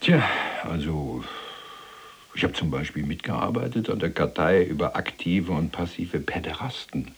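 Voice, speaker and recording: An actor playing an imprisoned former police archivist, chatty, pedantic and evasive, with a rough, husky voice in a radio drama. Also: male voice, low-pitched, a little slow, full character voice. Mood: wry, defensive. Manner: folksy, hedging, placating. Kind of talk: dramatized conversation.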